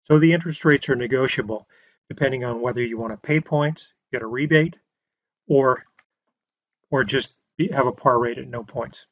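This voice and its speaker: Male instructor, mid-pitched, steady lecture voice-over; dry, slightly muffled recording. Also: normal speed, no room sound. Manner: plain explanatory delivery with restarts and filler words. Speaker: real estate instructor. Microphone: voice-over on slides.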